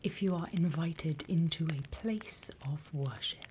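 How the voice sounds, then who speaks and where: A woman's voice: low-pitched, slight background noise. Whispering British woman as a female demon teacher. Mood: patient, instructive. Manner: soft, deliberate, instructional, with pauses. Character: female demon teacher, softly menacing, encouraging, prim. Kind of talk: whispered ASMR roleplay.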